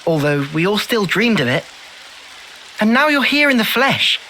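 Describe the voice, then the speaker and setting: A man's voice: high voice, very animated, normal speed, bright, forceful tone, a little hiss or hum, exaggerated voice, poor audio. A man voicing an eager, earnest and nervous young soldier in a video game cutscene. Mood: starstruck, excited. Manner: earnest, hesitant. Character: eager, nervous young male soldier who idolizes heroes.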